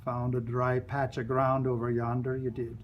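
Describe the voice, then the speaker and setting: A man's voice: mid-range voice, completely flat delivery, moderate pace, lo-fi sound, slight background noise, voice pushed down, natural voice. A man voicing a stern, glum schoolmaster. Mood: glum, begrudging. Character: stern, glum Scottish schoolmaster addressing young lads.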